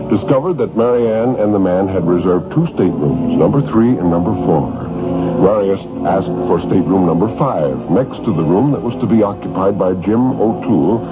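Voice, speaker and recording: A man narrating a horror story in a theatrical, suspenseful 1950s radio style. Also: low-pitched. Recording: noisy, muffled 1950s radio recording.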